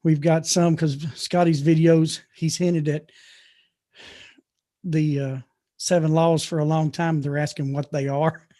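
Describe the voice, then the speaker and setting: Man, guest teacher, earnest, self-correcting, slightly high voice, video call. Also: level tone, unhurried, mellow. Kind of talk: casual chat.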